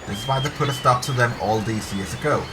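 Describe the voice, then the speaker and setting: A man's voice: medium pitch, quick pace, close to an everyday voice. A man voicing a talkative, uneasy young woman archaeologist in a story read aloud. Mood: uneasy, confiding. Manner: talkative, apologetic, hushed confiding. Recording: clear background ambience noise.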